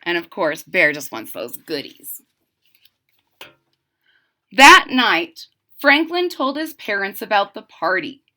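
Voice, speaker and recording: A woman's voice: mid-range voice, very animated, slow pace, pauses often, sharp tone. A woman reading a children's picture book aloud expressively, adding simple casual asides to explain things to young listeners. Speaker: storybook reader.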